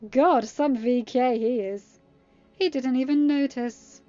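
A woman's voice: on the high side, darkish tone, dry recording, dull audio, faint music behind, close to an everyday voice. Woman acting smug young male villain, raised voice. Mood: smug, taunting. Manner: sneering, mocking, deliberate.

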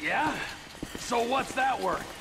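Gravelly, cocky fake guard. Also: male voice, very high voice, slow pace, heavily acted. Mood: wisecracking. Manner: wisecracking, loud, animated. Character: cocky, excitable male robber posing as armored-car guard. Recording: echoing game hallway with background noise.

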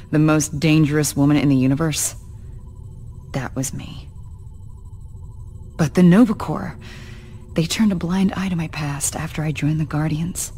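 A woman's voice: low voice, mellow. An actress voicing a stern green-skinned female warrior and former assassin, terse and guarded. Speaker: game character.

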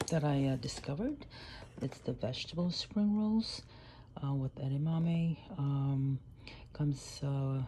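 A woman's voice: rumbling low, noisy background. A middle-aged woman vlogging casually from a parked car, chatting with many fillers about what she bought. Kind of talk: casual haul vlog. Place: parked car, then at home. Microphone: phone camera on the dashboard.

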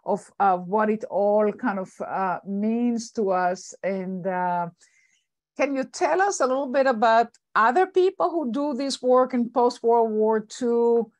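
Female moderator, middle-aged, fairly high voice, tentative informal questioning on a video call. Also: darkish tone. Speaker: host, moderator. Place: home office on a video call.

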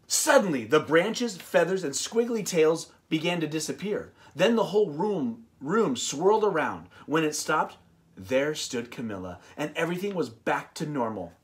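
A man in his thirties co-hosting a read-aloud, moving between expressive story reading and casual chat. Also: fairly high pitch, normal speed. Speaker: co-host of a read-aloud show. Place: small room indoors, on a couch.

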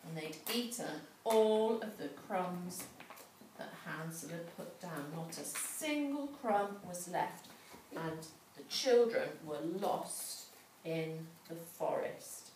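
A middle-aged woman reading a story aloud to a child, dramatically, with pauses and questions. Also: lowish voice, animated, darkish tone. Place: large indoor school room with hard floor. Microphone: distant camera microphone. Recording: echoey room, distant mic, background noise.

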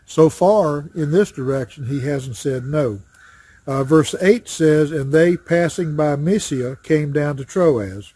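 An older man teaching a Bible study lesson outdoors in a wooded yard, talking in a plain, folksy way with frequent pauses, recorded on a camera at chest height. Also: a little high, warm tone, breathy edge, no echo. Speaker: associate pastor teaching Sunday school. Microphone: webcam or phone framed at chest height. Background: crows cawing.

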